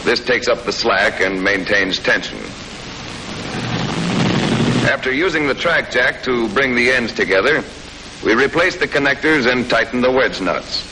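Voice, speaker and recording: An old, noisy film soundtrack carries an American man's mid-pitched voice over hiss and vehicle noise. He narrates like a 1940s military instructional reel, laying out steps in a matter-of-fact, instructive way. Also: lively intonation, normal speed, pushed and bright.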